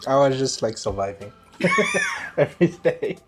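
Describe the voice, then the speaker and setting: A quiet, soft-spoken man in casual group chat. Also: medium pitch, animated, moderate pace, faint music behind. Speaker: streamer.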